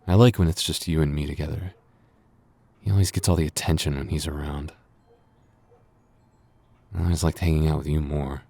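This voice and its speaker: A man acting a deep-voiced, bashful boyfriend type. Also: steady tone, normal speed, vocal fry, pauses often, mellow, breathy edge, heavily acted. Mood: wistful, defensive. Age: young adult. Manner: stammering, defensive, sighing, easily flustered. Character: flustered, bashful young man, friend's younger brother with a crush. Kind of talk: intimate boyfriend ASMR roleplay.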